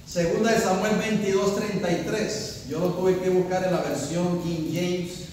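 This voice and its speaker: A man's voice: sits high, fairly even intonation, unhurried, darkish tone, slight background noise. A man preaching a sermon as pastor, building to emphatic peaks and asking the congregation questions. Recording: echoey hall, voice from far off.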